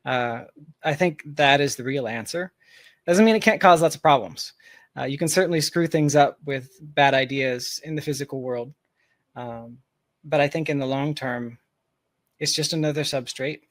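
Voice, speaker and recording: A man's voice: mid-range voice, moderate pace, fairly bright tone, no echo. A man speaking as an interview guest in a casual, speculative discussion, reasoning aloud with hedges and long asides. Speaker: podcast guest, tech founder.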